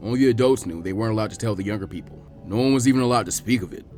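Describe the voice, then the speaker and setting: Adult male ninja teacher played by a male reader: earnest, firm, reassuring, storybook delivery over soft music. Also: fairly low pitch, racing, pitched below the performer's own voice, lightly acted. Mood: earnest, explaining. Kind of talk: acted storybook dialogue.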